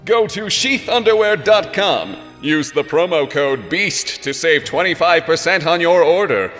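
A man playing an earnest, boastful superhero with a grandiose sales pitch in a comedy sketch. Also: lively intonation, unhurried, fairly bright tone. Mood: earnest, proud. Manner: grandiose, mock-heroic salesman delivery. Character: earnest, boastful male superhero plugging his underwear. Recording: reverberant hall with faint music.

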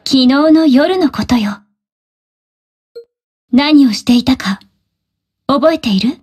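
A woman playing a poised, teasing teenage high school girl in a Japanese anime game, speaking in a composed, politely playful way. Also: slow pace, darkish tone, husky, full character voice. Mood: serious, probing.

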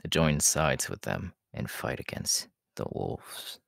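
A man playing a proud, menacing, world-weary demon king in an ASMR roleplay, talking in a deliberate, haughty way that often sinks into breathy whispers. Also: a bass voice, lively intonation, a bit bright, no echo, clean studio sound, exaggerated voice. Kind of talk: ASMR audio roleplay acting.

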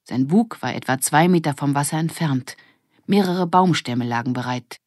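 A woman's voice: low-pitched, normal speed, a little mellow, dry recording, clean studio sound. A woman narrating a children's audiobook in a scripted storytelling style.

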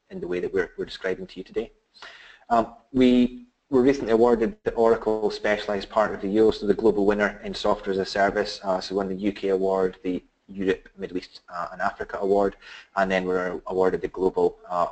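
A middle-aged man with a Scottish accent presenting a business webinar, halting with many fillers and restarts. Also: fairly low pitch, darkish tone, poor audio. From Scotland.

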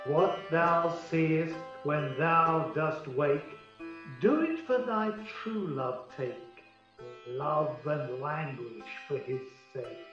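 An actor playing a spiteful fairy king, deliberately chanting a rhymed love spell in a radio play. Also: fairly high pitch, very animated, full character voice. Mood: sinister, spellcasting. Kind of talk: verse radio play incantation. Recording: echoing, distant, muffled, music underneath.

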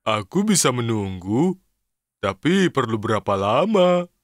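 A man's voice: mid-range voice, big pitch swings, unhurried, no echo, studio quality. An actor voicing an impatient, childlike male cartoon character in an Indonesian children's dub, with an eager, dramatic, exclamatory manner.

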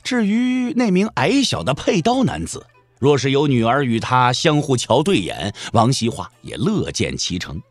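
A man narrating a Chinese martial arts audio drama as an expressive storyteller, wry and colloquial in his delivery. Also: medium pitch, normal speed, no room sound, soft background music.

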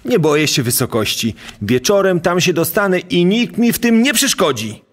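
An actor playing a greedy, boastful innkeeper in an audio play. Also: male voice, sits high, sing-song, normal speed. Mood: scheming, confident. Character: greedy, boastful medieval innkeeper, sure of himself. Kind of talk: dramatic audio play acting.

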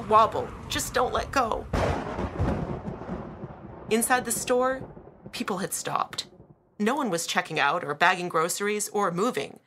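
A woman narrating an audiobook in a dramatic, deliberate style with weighted pauses and emphasis. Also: mid-range voice, background noise.

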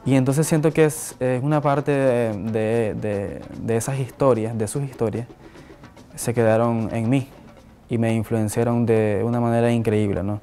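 A man's voice: mid-range voice, steady tone, normal speed, warm tone, soft background music. A man, a visual artist answering interview questions reflectively, with many restarts and tag questions, seated indoors on camera. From Nicaragua. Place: indoor office with flag and shelves. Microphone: fixed camera, seated medium shot.

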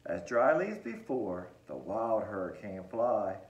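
A man in his forties reading a rhyming storybook aloud to children, with a sing-song delivery and dramatic pauses. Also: fairly low pitch, sing-song, dull audio. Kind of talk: expressive read-aloud of a Christmas verse storybook. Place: living room at home, indoors. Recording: roomy, echoing home recording.

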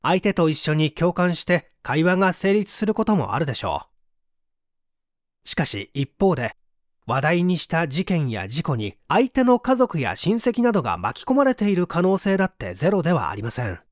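A narrator reads a nonfiction book aloud, explaining point by point. The delivery is scripted and clearly articulated, with lively swings in pitch. The recording is dry and close, with no room sound. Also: moderate pace, no echo. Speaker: audiobook narrator.

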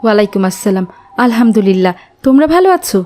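A woman voicing a warm-hearted, hospitable village mother in a cartoon, with a motherly manner. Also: medium pitch, animated, quick pace, lightly acted. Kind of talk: cartoon dialogue.

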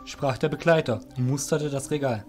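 A man's voice: medium pitch, normal speed, darkish tone, faint music behind. A man reading scripted narration for an audio drama as the narrator.

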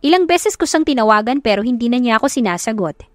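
A woman's voice: a little high, lively intonation, fast talker, no room sound, studio quality. A Filipino woman narrating a first-person romance story dramatically, as in an audiobook. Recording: music behind the voice.

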